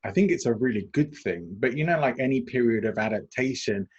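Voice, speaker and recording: English guy talking on a call, bit husky, dull muffled audio, measured with some ums. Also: mid-range voice, lively intonation. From England. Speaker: guest, presentation coach. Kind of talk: conversational interview answers.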